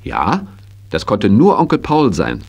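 A man narrating a scripted children's radio play as an expressive storyteller. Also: lowish voice, unhurried, husky.

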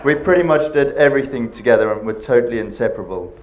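A man's voice: medium pitch, lively intonation. A man in his thirties with a South African accent, giving a jokey best man speech from notes into a handheld mic in a large panelled hall. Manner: jokey, reading from notes, pausing for laughs. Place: large wood-panelled banquet hall, indoors.

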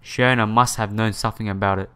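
A man's voice: lowish voice, fairly even intonation, quick pace, sharp tone, clear, resonant tone. A man hosting a let's play, reading a visual novel aloud with casual asides and stumbling now and then over the words. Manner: stumbles over read words and repeats phrases.

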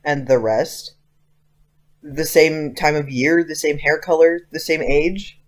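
A man's voice: fairly high pitch, fairly even intonation, slow pace. Man playing an earnest, inquisitive teenage schoolboy. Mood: earnest, insistent. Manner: casual youthful phrasing, insistent. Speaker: actor in an audio play. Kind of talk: audio drama acting.

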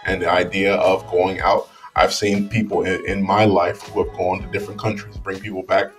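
A man's voice: sits low, flat delivery, normal speed, far from the mic, soft background music. A man in his thirties giving casual commentary to camera on a studio mic in a small room, talking thoughtfully with many fillers and restarts. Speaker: vlogger. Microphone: studio mic on boom arm.

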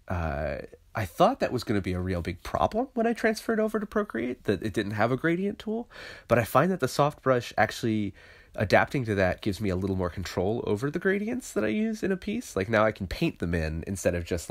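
A man with a stuffy, congested voice from a cold, talking through his digital painting process in a loose, thinking-aloud tutorial voice-over. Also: fairly low pitch. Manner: thinking aloud, loose and conversational with many fillers. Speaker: illustrator teaching digital painting.

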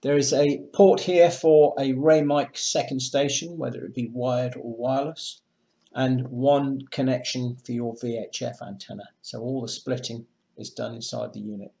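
A man presenting marine electronics in a measured, matter-of-fact way, as in a semi-scripted product demonstration. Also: medium pitch, flat delivery, vocal fry, warm tone. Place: indoors, small room with block walls at a table.

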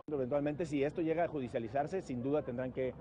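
A man's voice: medium pitch, fast, a loud crowd. A man with a Mexican accent giving a careful, formal, legalistic statement to the press as a lawyer. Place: outdoors amid a crowd of reporters. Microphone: cluster of handheld press microphones. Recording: busy outdoor press scrum.

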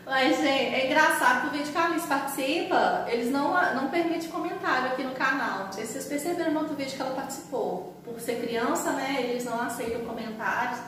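A woman's voice: high voice, fast talker, hardly any pauses, fairly bright tone, distant mic, slight background noise. Chatty Brazilian woman in echoey kitchen. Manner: chatty, talks to viewers while frying, laughs often. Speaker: cooking channel host. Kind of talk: casual home cooking vlog. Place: small home kitchen. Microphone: camera across the kitchen.

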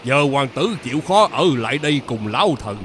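A man playing a kindly old mandarin in an animated fairy tale, gentle and deferential. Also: mid-range voice, brisk, slight background noise. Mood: earnest, gentle. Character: kindly old court mandarin posing as a grandfather. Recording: background music under the voice.

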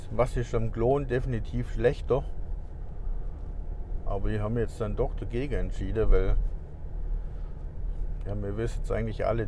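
A middle-aged man vlogging as he drives, chatting in a relaxed, rambling way with restarts through a lapel mic. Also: on the low side. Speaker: vlogger reviewing vape gear. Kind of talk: casual chat while driving. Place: inside a moving car. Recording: car road noise behind.

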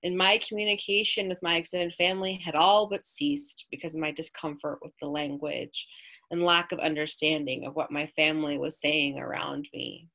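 A woman in her thirties with an American accent, reading her own personal essay aloud in a measured, reflective way over a webcam at home. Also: sits low, fairly even intonation, gravelly, pushed and bright. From United States. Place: small room at home, indoors. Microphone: webcam on a video call.

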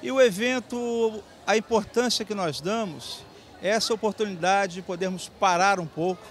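An older man in an event interview, reflecting aloud and posing rhetorical questions, into a handheld reporter mic. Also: high-pitched. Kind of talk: vox pop interview.

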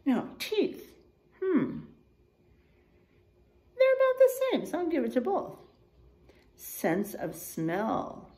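A middle-aged woman reads to children in an animated teacher's style. Her pitch moves widely and she takes her time over phrases. She speaks encouragingly, addressing her young listeners directly. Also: takes it slowly, pauses often. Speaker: teacher reading aloud to children. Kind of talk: animated children's read-aloud with asides to listeners. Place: small unfinished room or garage with drywall, indoors.

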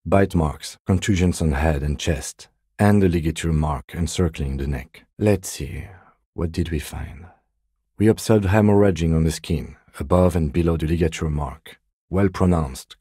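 A man playing a composed, meticulous police lieutenant detective in a video game, speaking in a precise, deadpan, politely reserved way. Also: very deep voice, breathy edge, no room sound, clean studio sound. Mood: clinical. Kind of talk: video game character dialogue.

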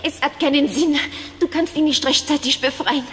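High-voiced, grave Resistance fighter. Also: female voice, steady tone, normal speed, fairly bright tone. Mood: somber. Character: grave, resolute female French Resistance fighter. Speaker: video game character. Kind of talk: dramatic game cutscene acting. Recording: long reverb, music behind.

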